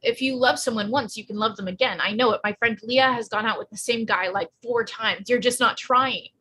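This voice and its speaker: A woman acts out a flippant teenage girl in a drama read-through. The voice is high and sharply bright with a raspy edge. She talks in emphatic slang, sarcastic but earnest underneath. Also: brisk. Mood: uneasy, earnest. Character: blunt, sarcastic pregnant sixteen-year-old girl, earnest underneath. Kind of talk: live screenplay table read.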